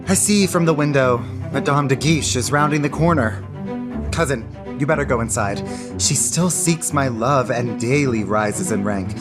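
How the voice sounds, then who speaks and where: A woman playing a lovestruck, crafty young gentleman in a florid, theatrical radio drama. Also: a bass voice, moderate pace, loud music behind the voice. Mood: anxious. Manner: florid, rhapsodic delivery.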